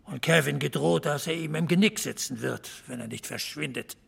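A knowing small-town man in a radio play. His voice is husky and full of creak, pitched a little high for a man. He tells tales drily, with emphasis. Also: moderate pace, exaggerated voice. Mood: wry, knowing. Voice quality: gravelly, husky. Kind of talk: German audio drama dialogue.

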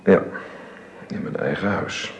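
Awkward middle-aged husband, deep halting voice, radio play, slight hiss. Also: male voice. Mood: uneasy. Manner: halting, evasive.

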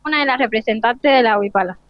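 A teenage young woman from an interview, with an Argentine accent, speaks hesitantly in a slightly high voice. The audio is dry and dull. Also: fast talker, no echo. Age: teenage student. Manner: hesitant, searching for words. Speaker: student flag bearer being interviewed. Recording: dull lo-fi radio broadcast link.

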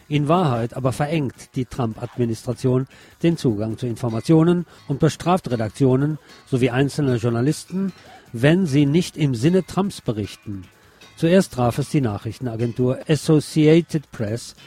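A man's voice: medium pitch, dark tone, slightly rough. A man presenting on radio, reading a written report aloud.

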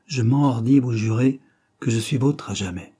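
A man's voice: mid-range voice, moderate pace, mellow, breathy edge, natural voice. A man voicing a chaste, serious young woman in love, speaking simply and sincerely. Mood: solemn, tender.

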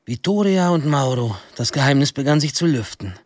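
A man's voice: medium pitch, lively intonation, normal speed, no room sound, natural voice. An actor narrating a radio drama as a wry young man looking back on his troubled teens, with a dry, ironic manner. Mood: wry, reflective.

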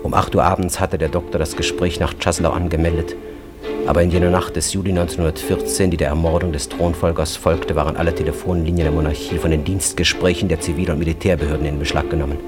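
An actor narrating a tragic story in a radio drama, with grave, urgent delivery. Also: male voice, sits low, little pitch movement, fast talker, natural voice. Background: prominent instrumental music.

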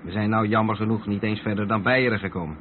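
A thin, narrow-band radio drama recording with clear vehicle noise carries a rather low male voice. An actor plays a steady truck driver, explaining things patiently to his companion. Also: fast, lightly acted. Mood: patient, explanatory.

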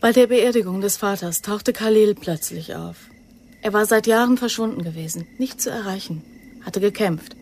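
An actress playing an earnest, guarded young recruit who answers with restraint in a radio crime drama. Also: female voice, mid-range voice, moderate pace, darkish tone, lightly acted. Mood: subdued, recounting.

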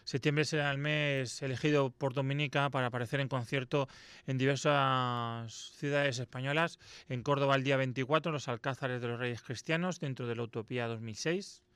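A man's voice: medium pitch, fairly even intonation, a bit bright, clean tone, no echo. A man from co-hosting a radio music show, reading out concert listings with casual asides. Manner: brisk announcing, casual asides.

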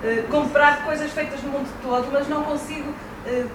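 Portuguese woman lecturer, high voice, halting and thoughtful, echoey hall. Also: steady tone. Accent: European Portuguese. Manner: hesitant, thinking aloud. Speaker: university lecturer. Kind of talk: public debate. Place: hall at a public debate. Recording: echoey room from far off, noisy.

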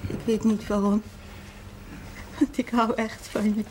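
Slow, weary sick mother played by an actress. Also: a little high, flat delivery, a little mellow, close to an everyday voice, poor audio. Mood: weary, tender. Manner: slow, tender, resigned. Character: gravely ill, weary, loving mother. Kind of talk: 1970s Dutch television drama acting. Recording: faint noise on old TV audio.

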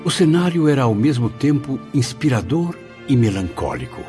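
Man acting a thoughtful formal gentleman, very lively melodic delivery, radio drama, over music. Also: medium pitch, moderate pace, natural voice. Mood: reflective, solemn. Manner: courteous, formal, reflective. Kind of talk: radio drama acting and first-person narration. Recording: music bed under the voice.

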